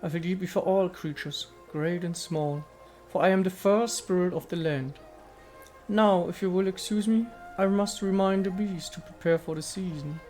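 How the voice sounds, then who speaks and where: Man voicing an ancient, poised goddess spirit, pitched higher. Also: normal speed, darkish tone, soft background music, lightly acted. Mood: gracious, wistful. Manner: poised, formal, poetic. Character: ancient female statue goddess spirit, serene, aloof, contemplative. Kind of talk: dramatic reading of video game dialogue.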